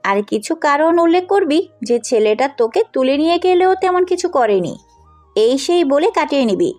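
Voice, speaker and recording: A woman's voice: high-pitched, very animated, moderate pace, a little mellow. A woman acting a headstrong, compassionate young woman in an audio story, speaking bluntly and insistently. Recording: music under the voice.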